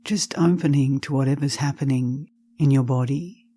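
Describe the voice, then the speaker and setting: A woman leading a guided meditation, speaking gently and softly in unhurried phrases with long pauses, with some breathiness. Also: extremely low pitch, slightly rough. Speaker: meditation teacher.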